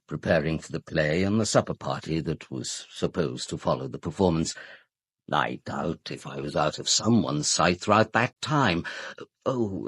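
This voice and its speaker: A British actor playing a formal, discreet, unflappable castle butler in a radio drama, with stiff, precise, deferential speech. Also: male voice, very deep voice, a bit bright, breathy edge, dry recording, heavily acted. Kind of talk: full-cast radio drama.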